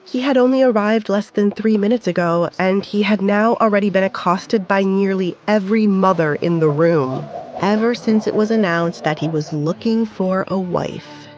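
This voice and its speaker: A woman narrating a romance audio drama in a measured, even storytelling manner. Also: medium pitch, lo-fi sound.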